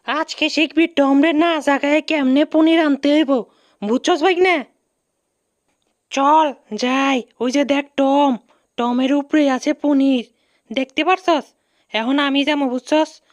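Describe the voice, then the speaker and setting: A cartoon mouse uncle who speaks very high and close in a colloquial Bangladeshi accent. He is bossy and boastful, and gets flustered easily, repeating himself. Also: takes it slowly, no room sound, dull audio. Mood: boastful, instructing. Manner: excitable, repeats words when panicking. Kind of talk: comic cartoon dub.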